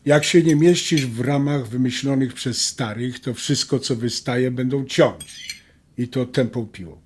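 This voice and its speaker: An actor playing a calm, philosophical middle-aged husband and father in a naturalistic audio drama. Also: medium pitch, unhurried, slightly rough. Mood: earnest, reflective. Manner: philosophical, conciliatory. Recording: light background noise with tableware clinks.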